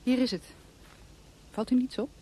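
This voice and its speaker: A woman's voice: fairly high pitch, steady tone, moderate pace, dark tone, a little hiss or hum, close to an everyday voice. An actress playing a forthright, nonchalant woman from a country house in a radio drama, speaking in a direct, dryly offhand way. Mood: nonchalant, inviting.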